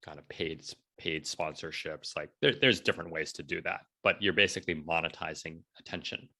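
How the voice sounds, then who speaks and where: A man in his forties speaking casually as an interview guest, telling stories in an animated way and acting out bits of dialogue. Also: low voice, brisk. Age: 40s. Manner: animated storytelling, acts out quoted dialogue, often checks in with "right?". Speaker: entrepreneur and blogger being interviewed. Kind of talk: casual conversational interview talk.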